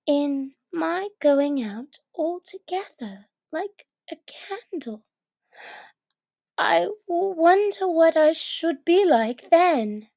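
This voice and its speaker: A woman's voice: extremely high pitch, animated, extremely slow, gravelly, darkish tone, pitched above the performer's own voice, full character voice. A woman voicing a curious, earnest young girl who talks to herself in exclamations in a children's storybook. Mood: nervous wondering. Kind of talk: expressive children's storybook character reading.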